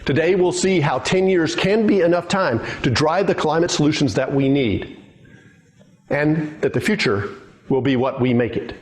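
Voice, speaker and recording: A man giving a steady, rallying scripted address to open a webinar. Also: fairly high pitch, normal speed. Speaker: speaker in a recorded webinar intro.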